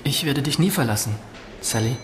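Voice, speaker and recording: An actor playing a gentle, loyal, dryly teasing young man in a radio drama, speaking softly and reflectively. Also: medium pitch, lively intonation, moderate pace, noisy background, natural voice. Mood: consoling, teasing. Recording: radio play, loud music behind.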